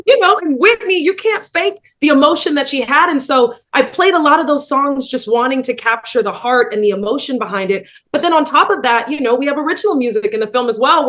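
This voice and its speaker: A woman's voice: high voice, a little fast, hardly any pauses. A woman, an actress, chatting animatedly about her work in a casual interview over a video call. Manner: animated, laughing storyteller.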